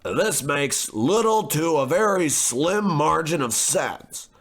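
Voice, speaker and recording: A man acting a well-to-do, pompous, easily exasperated gentleman in an old-time radio horror comedy, formal and fussy. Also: on the high side, animated, slow, heavy background noise. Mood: puzzled, reading. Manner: formal, fussy, hesitant stammering when rattled.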